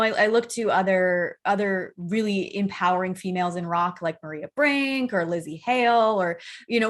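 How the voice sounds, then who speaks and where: Soft, girlish-voiced woman chatting candidly. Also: medium pitch. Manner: candid, informal, peppered with "like". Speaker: interview guest, rock band singer. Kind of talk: casual conversational interview.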